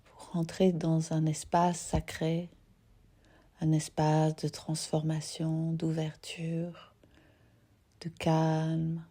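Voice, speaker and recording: A woman teaching yoga nidra, guiding a relaxation gently and unhurriedly in short phrases with soft, breathy endings. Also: deep voice, monotone, extremely slow. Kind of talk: guided relaxation meditation.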